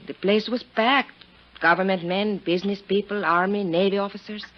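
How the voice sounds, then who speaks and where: An actress playing a proud, volatile Italian countess in 1950s radio drama, haughty and formal in manner. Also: female voice, mid-range voice, steady tone, normal speed, lightly acted. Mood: guarded, haughty. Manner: haughty, formal, guarded, flaring into outbursts. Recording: dull 1950s radio broadcast recording.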